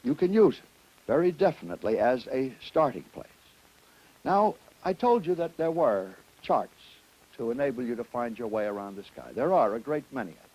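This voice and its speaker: A man presenting an educational lecture to students in deliberate, emphatic, didactic phrasing. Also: mid-range voice, darkish tone, breathy edge, low-quality recording. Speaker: educational film presenter and astronomy teacher.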